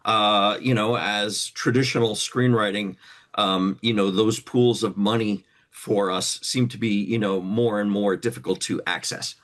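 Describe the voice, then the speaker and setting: A man hosting a casual interview, speaking in a halting, hesitant way. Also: fairly low pitch, steady tone, fairly bright tone. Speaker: interview host.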